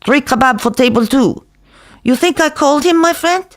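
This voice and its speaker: A man's voice: extremely high pitch, normal speed, no echo, studio quality, voice pushed up. A man voicing a world-weary, hectoring Israeli kebab-shop worker who lectures and cajoles emphatically. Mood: scornful.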